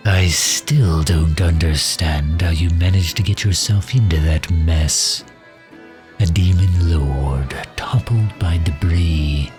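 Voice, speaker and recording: A very deep-voiced, sharp-tongued mage played by a man serves as loyal advisor to a demon ruler. He speaks slowly, with dry, sardonic bite and drawn-out phrasing, in an ASMR fantasy roleplay. Faint music plays behind him. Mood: teasing, sardonic. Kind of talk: ASMR roleplay audio drama.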